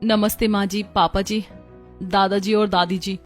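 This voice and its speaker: A woman's voice: medium pitch, level tone, a little slow, faint music behind, close to an everyday voice. A woman playing polite, homely young Indian daughters-in-law in a cartoon family story.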